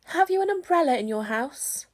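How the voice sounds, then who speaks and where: A woman voicing an earnest, fretful male toy bear from a children's storybook, speaking in a deliberate, solemn and plaintive way. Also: high-pitched, lively intonation, voice pushed up.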